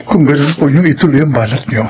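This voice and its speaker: A man's voice: on the high side, fast, a little mellow, slightly rough, highs cut off. A man with a Filipino accent playing a respectful, grieving young boyfriend in a radio horror drama. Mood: sorrowful. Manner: respectful, earnest.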